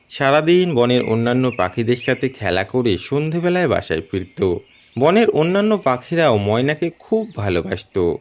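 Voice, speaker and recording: Man, scripted story narration, medium pitch, expressive melodic range, cartoon storyteller. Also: slight background noise.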